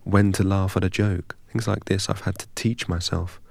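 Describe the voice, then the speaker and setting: A man from England, a guest in an interview, telling his story in a measured, deliberate way. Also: low voice, level tone, vocal fry, darkish tone, no echo. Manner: measured and deliberate, recites long strings of numbers evenly.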